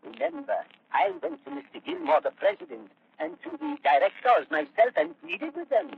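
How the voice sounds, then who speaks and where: A man's voice: high voice, huge pitch swings, normal speed, vocal fry, slightly rough, heavily acted. A man acting in a 1940s radio drama as a scheming villain who persuasively coaxes a blind youth toward revenge. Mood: smooth, manipulative. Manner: insinuating, persuasive. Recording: muffled noisy old-time radio recording.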